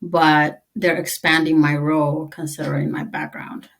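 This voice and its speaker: Female interview guest from East Africa, Tigrinya native, lower-pitched, quick and chatty. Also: flat delivery. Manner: chatty, quick, lots of "like", laughs easily. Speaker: interview guest, army veteran. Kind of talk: conversational interview.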